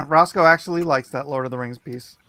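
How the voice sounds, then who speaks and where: A man's voice: a little high, moderate pace. A man from Hawaii hosting a casual livestream chat, joking and teasing his guests and laughing often. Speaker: comic artist host. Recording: clear steady background noise.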